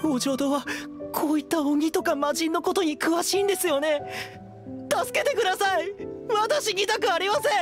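A man's voice: extremely high pitch, normal speed, music in the background. An actor playing a timid, frightened, polite young man in an anime-style game. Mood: fearful, pleading. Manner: anxious, polite.

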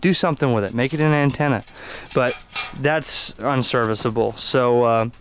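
A man giving an unscripted hobbyist review and demonstration of radio gear in a halting, conversational, explanatory way. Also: medium pitch, noisy background.

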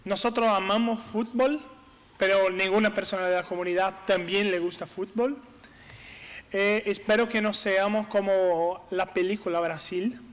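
Brazilian man in his thirties, conference speaker, high smooth voice, halting delivery with fillers, desk mic in a big reverberant hall. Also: fairly even intonation, a bit bright. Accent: Brazilian Portuguese. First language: Portuguese. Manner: halting, searches for words with many fillers. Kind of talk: conference talk. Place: large hall with brick walls, on stage at a table. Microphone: desk microphone on stand.

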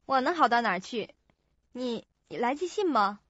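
Actress as a high-voiced, moody young woman. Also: lively intonation, moderate pace, a bit bright, dry recording. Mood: self-deprecating. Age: young adult. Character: moody, self-deprecating young female office intern. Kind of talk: Chinese radio drama acting. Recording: dull lo-fi recording.

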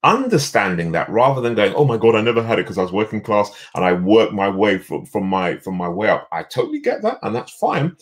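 A middle-aged man with a deep, resonant voice, talking emphatically as a guest on a video call from a home office. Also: a little fast, hardly any pauses. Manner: animated, emphatic storyteller. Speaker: guest, leadership coach.

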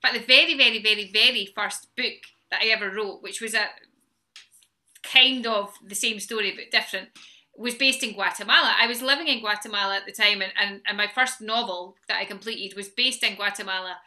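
Lady in her 40s chatting on a video call, really bright voice, keeps restarting. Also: medium pitch, fairly quick. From Scotland. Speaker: novelist on a panel. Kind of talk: casual panel talk. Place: home room with bookshelves.

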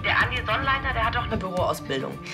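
A woman's voice: a little high, big pitch swings, quick pace, music in the background. A woman playing an eager, clever police colleague in a TV crime comedy. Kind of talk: naturalistic TV acting.

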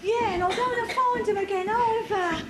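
A woman's voice: extremely high pitch, moderate pace. A woman playing a dim, gullible, childlike henchman in a pantomime double act, naive and literal-minded. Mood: puzzled. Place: stage in a hall, recorded from afar. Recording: echoey hall, very noisy camcorder tape.

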